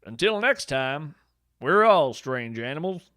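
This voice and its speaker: A man acts out a folksy field naturalist with a very lively, swooping delivery, recorded dry and close. He tells whimsical tales of strange creatures with earnest enthusiasm, as in a podcast monologue. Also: fairly high pitch, unhurried, no room sound. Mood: unhurried, wry. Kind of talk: first-person storytelling, fiction podcast monologue.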